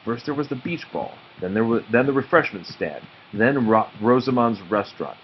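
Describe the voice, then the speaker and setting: A man reads a children's storybook aloud. His medium-pitched voice rises and falls expressively, and he slows deliberately for dramatic pauses. The recording is a little dull, with faint noise in the background. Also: expressive. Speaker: read-aloud narrator.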